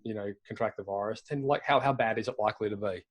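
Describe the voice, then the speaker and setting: This is a man hosting a podcast panel discussion. His voice sits fairly low. He asks curious, meandering questions, full of "I mean" and "you know.". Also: fast talker. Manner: curious, rambling questions with many fillers. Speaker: podcast host.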